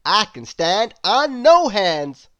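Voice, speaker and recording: A woman's voice: medium pitch, sing-song, slow, fairly bright tone, voice pushed up. A woman voicing a confident, modest male fantasy character from a children's storybook, speaking emphatically.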